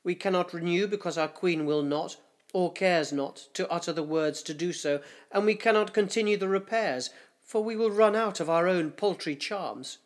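A man voicing worried old councillors of a dying hive, debating in a fretful, hushed way. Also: sits high, moderate pace, voice pushed up, lightly acted. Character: anxious, whispering councillors of a fading hive.

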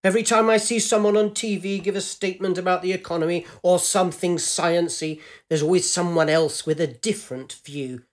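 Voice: mid-range voice, expressive, moderate pace, close to an everyday voice. A narrator voicing a bullying, paranoid, self-important political leader who speaks in indignant, accusing outbursts for a short story. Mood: angry ranting. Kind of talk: acted dialogue in a short story reading.

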